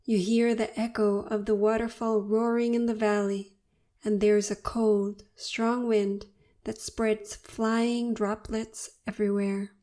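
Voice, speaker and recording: A woman narrating a guided meditation in a soothing, unhurried and deliberate manner. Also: mid-range voice, warm tone.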